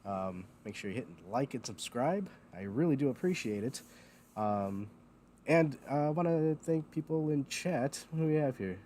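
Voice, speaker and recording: A man's voice: medium pitch, lively intonation, moderate pace, dark tone, slight background noise. A man hosting a casual livestream chat, rambling with lots of ums, feeling a bit loopy and foggy. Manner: rambling, many ums and uhs, laughs at himself. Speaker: host.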